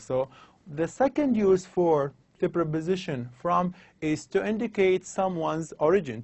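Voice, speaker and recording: A man lecturing on English grammar over slides, explaining slowly and repeating his examples. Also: a little high, darkish tone, no room sound.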